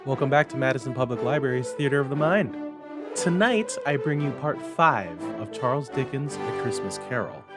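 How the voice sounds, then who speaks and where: A man giving a theatrical, expressive dramatic reading as a storyteller and host. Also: medium pitch, normal speed, over loud music. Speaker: library staff storyteller and host. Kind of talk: dramatic scripted narration.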